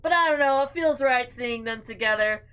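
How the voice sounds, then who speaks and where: A woman hosting a visual novel playthrough, reading aloud and reacting with animated, slangy exclamations over background music. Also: a little high, normal speed, clear, resonant tone. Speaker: gaming video host.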